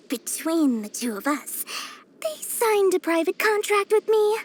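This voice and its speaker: A woman's voice: very high-pitched, big pitch swings, slow pace, exaggerated voice. A woman voicing a small, cheerful, greedy young female merchant for a video game, with theatrical, sing-song sales patter. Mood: confident, salesy. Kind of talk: animated video game voice acting.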